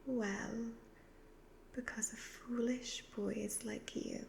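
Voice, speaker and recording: Slow breathy evil witch, acted by a woman. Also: a little high, steady tone, a little mellow, heavily acted. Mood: gloating, mocking. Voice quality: breathy, half-whispered. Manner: slow, deliberate, hypnotic, condescending. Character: evil ageless witch, youthful-looking, gloating, seductive, predatory. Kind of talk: soft-spoken ASMR roleplay. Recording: faint background noise.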